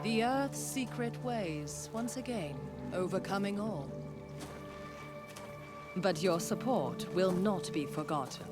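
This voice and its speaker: A woman voicing a proud, wary, ancient nature spirit in a fantasy game, speaking in a solemn, deliberate, haughty manner. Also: medium pitch, lively intonation, over loud music. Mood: solemn, guarded. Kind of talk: dramatic fantasy game dialogue.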